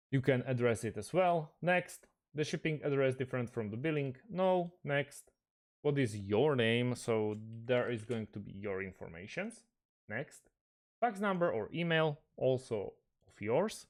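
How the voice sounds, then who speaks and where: A man with a medium-pitched voice presents an on-screen walkthrough as a tutorial host. He goes step by step in a halting way, often hesitating in the middle of a phrase.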